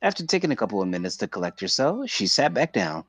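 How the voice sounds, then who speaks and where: A man's voice: fairly low pitch, very animated, fast, a bit bright, breathy edge, no room sound. A man reading visual novel narration aloud as a streamer, occasionally stumbling and rereading words.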